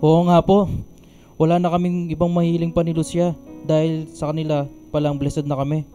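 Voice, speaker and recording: Actor as a high-pitched grumpy Filipino father. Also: monotone, moderate pace, dark tone, faint music behind, lightly acted. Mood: polite, pious. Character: grumpy, lazy middle-aged father, pious in public. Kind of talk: Filipino radio drama acting.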